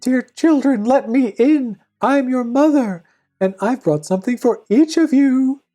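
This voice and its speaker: A cunning wolf wheedling at a cottage door in a bedtime fairy tale, swinging from low growl to sugary high coaxing , acted out by a woman reader. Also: unhurried, dark tone, soft background music. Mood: falsely sweet. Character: hungry deceitful male wolf, gruff, then falsely sweet.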